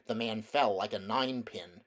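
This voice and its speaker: A man's voice: lowish voice, moderate pace, a bit bright. A man reading a children's fantasy novel aloud as scripted storybook narration. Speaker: amateur audiobook reader.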